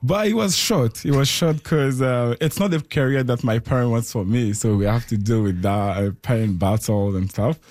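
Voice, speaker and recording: A man's voice: medium pitch, moderate pace, few pauses, darkish tone, dry recording. A young man from Ivory Coast, a studio guest chatting cheerfully. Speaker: studio guest, soccer player.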